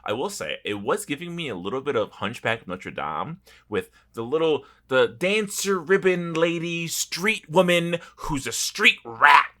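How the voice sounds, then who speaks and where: A man's voice: fairly high pitch, sing-song, unhurried, hardly any pauses, bright, forceful tone. A man co-hosting a podcast in casual banter, jokey and sweary, acting out mock quotes as he goes. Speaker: podcast co-host.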